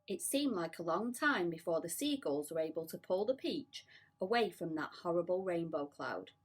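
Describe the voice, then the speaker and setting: A woman reading a children's storybook aloud in an expressive narrating style. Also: lowish voice, moderate pace, husky. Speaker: school staff member reading aloud.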